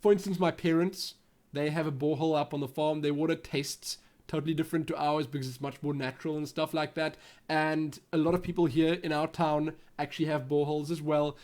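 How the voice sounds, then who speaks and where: A man's voice: a little high, steady tone, brisk, darkish tone. Rambling South African guy with a Western Cape accent. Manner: rambling, self-interrupting, thinking aloud. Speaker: YouTube gaming channel host. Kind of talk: casual Q&A vlog.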